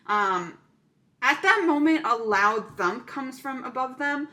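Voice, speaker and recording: A woman's voice: high voice, animated, slow pace, bright, forceful tone. A woman casually recapping a thriller movie plot, chatty and full of "um" fillers.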